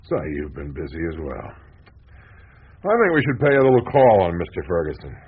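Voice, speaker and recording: An actor playing a world-weary, hard-drinking newspaperman in a radio drama, husky and wry. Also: low-pitched, huge pitch swings, a little slow, heavily acted. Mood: sardonic, intent. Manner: wry, sardonic. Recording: dull, lo-fi radio recording.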